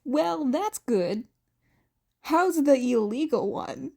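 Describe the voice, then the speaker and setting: A woman's voice: high-pitched, expressive, takes it slowly, vocal fry, darkish tone, heavily acted. A woman voicing an affectionate, reassuring adult man in a story reading, with an easygoing manner.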